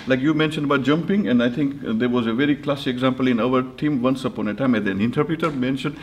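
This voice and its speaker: A man on a panel telling a story animatedly and loudly. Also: medium pitch, quick pace, echoey room, highs cut off, a little hiss or hum. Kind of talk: anecdote in a panel discussion.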